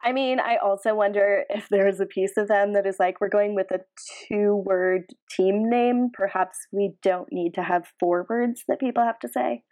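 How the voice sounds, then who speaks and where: A woman's voice: mid-range voice, steady tone, moderate pace, hardly any pauses, darkish tone. A woman co-hosting a podcast in casual sports chat, with a dry, matter-of-fact manner. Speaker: podcast co-host.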